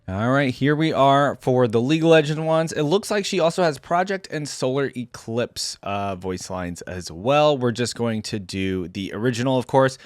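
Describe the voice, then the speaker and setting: A male reaction host talks casually, thinking aloud with amused asides. His voice sits at an average pitch, and the sound is close and free of any room echo.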